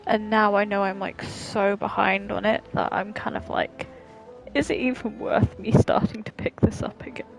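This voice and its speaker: A woman's voice: medium pitch, little pitch movement, normal speed, creaky. A woman chatting casually as she live-streams a game, in a rambling, repetitive way. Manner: rambling, repeats herself. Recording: faint game music behind.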